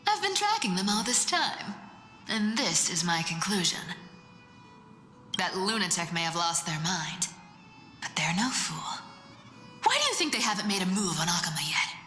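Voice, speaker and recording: A woman's voice: fairly low pitch, sing-song, normal speed, long pauses, sharp tone. An actress playing a teasing female trickster demigod in a game, with a sly, brash, playful way of talking. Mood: taunting. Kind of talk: dramatic game voice acting. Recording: echoey reverberant hall, music behind.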